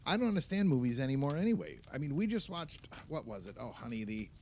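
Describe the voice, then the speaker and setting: An actor playing an opinionated, nostalgic film writer, talking emphatically and at length among friends in a naturalistic audio play. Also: male voice, mid-range voice, sing-song, fast talker, a little hiss or hum. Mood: exasperated, nostalgic.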